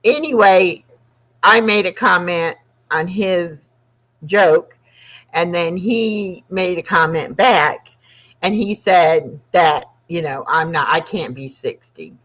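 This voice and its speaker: A woman's voice: fairly low pitch, slow, a bit bright. Chatty woman in her sixties. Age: 60s. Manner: chatty, rambling, laughs often. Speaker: channel host. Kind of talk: casual live chat. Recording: dull lo-fi sound.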